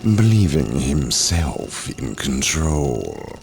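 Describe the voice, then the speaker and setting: An actor playing a scheming, arrogant sorcerer villain in a video game, with a theatrical, taunting, drawn-out delivery. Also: male voice, a bass voice, sing-song, vocal fry, background noise, heavily acted. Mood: smug menace. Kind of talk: dramatic video game acting. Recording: loud music behind.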